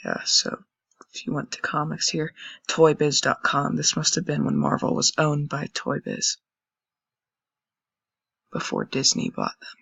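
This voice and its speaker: A young man with a raspy voice, casually commenting and thinking aloud while browsing old web pages on a screen recording. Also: on the high side, huge pitch swings, unhurried, pauses often, pushed and bright, no room sound. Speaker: channel host. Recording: dull lo-fi dry recording.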